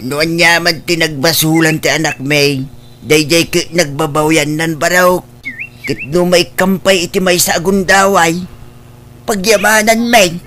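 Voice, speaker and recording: A man's voice: on the high side, fairly even intonation, measured pace, sharp tone, slight background noise. A man playing an old father in a radio drama, earnestly appealing on behalf of his daughter. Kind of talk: Ilocano radio drama acting.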